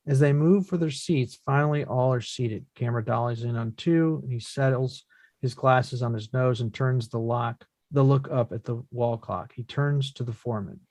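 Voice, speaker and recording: A man's voice: mid-range voice, moderate pace, a little mellow, no echo. Man narrating, close and dry. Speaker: stage directions reader. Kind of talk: scripted narration at a table read.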